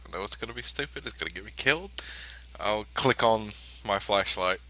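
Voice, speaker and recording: A man's voice: on the low side, lively intonation, a little fast, bright, forceful tone. A man playing a tabletop role-playing game, casually calling his character's actions and speaking as him.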